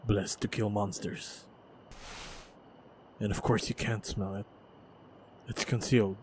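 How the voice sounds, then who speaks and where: A man playing a stoic, wry and protective monster hunter in a fantasy action audio roleplay, speaking in terse, measured, understated lines. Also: fairly low pitch, flat delivery, darkish tone, a little hiss or hum. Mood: guarded, calm.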